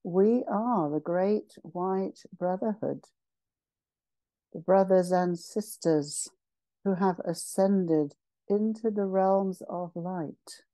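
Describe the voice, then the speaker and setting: A woman leading a guided meditation as a channeled spiritual address, solemn and ceremonial, speaking in short measured phrases with pauses. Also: medium pitch, mellow, no echo, rough recording.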